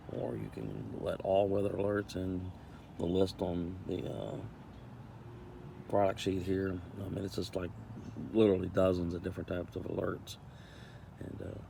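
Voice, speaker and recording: A man giving a casual, plain-spoken product review as a camping vlogger, halting and repeating himself for emphasis. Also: deep voice, vocal fry. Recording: steady background noise.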